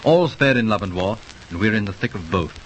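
A confident young English army captain, played by a man in an old radio play. His voice sits low and he sounds breezy. A faint hiss lies under the old recording. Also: animated, fairly quick, lo-fi sound, natural voice. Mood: tender. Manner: breezy, dismissive, tender with his sweetheart. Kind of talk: 1930s radio drama acting.

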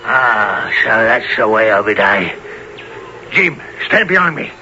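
A man playing a crafty, swaggering pirate ringleader in an adventure drama, with gravelly, theatrical, drawn-out speech. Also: on the low side, sing-song, pushed and bright, exaggerated voice. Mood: defiant. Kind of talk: dramatized adventure story acting. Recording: dull lo-fi radio-like recording, faint noise.

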